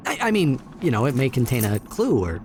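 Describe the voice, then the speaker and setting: A man's voice: mid-range voice, huge pitch swings, fairly quick. A man playing a cocky, eager young adventurer in a video game, speaking casually and easily flustered. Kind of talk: video game cutscene acting.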